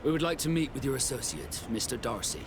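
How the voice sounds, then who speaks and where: Direct sailor, clear background noise. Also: male voice, mid-range voice, animated, fast talker. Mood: probing. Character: searching, direct tattooed sailor companion. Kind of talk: dramatic video game dialogue. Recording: clear outdoor ambient noise behind.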